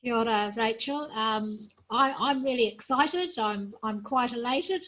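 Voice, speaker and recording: A husky-voiced woman speaks as an interview guest, explaining in a measured, earnest way. She sounds tired after a night without sleep. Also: a little high, a bit bright. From New Zealand. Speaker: sports federation president, guest.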